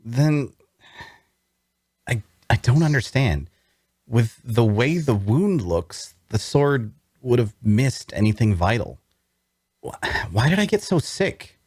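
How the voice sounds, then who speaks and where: A man's voice: medium pitch, very animated, slow, a little mellow, husky, no echo, studio quality, exaggerated voice. A man playing a wounded, bookish prisoner in an audio drama table read, wary and inquisitive, with a hesitant, questioning delivery. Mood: confused, alarmed.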